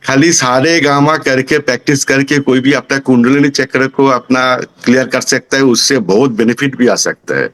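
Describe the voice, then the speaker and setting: A man in his sixties from Kolkata with a Bengali accent, giving an informal testimonial as a student, halting as he searches for words. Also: medium pitch, fairly bright tone. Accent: Bengali-accented Hindi. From Kolkata, India. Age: 60s.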